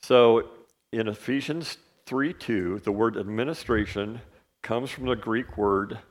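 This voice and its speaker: A man whose first language is not English, teaching a Bible lesson in a relaxed way, with many fillers and frequent "right?" checks. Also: lowish voice, unhurried.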